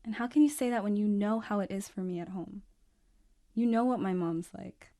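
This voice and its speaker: A woman's voice: medium pitch, moderate pace, dark tone, close to an everyday voice. A young woman acting in a naturalistic radio drama as a volatile, defensive drug user. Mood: defensive, angry. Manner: combative, defensive, accusatory.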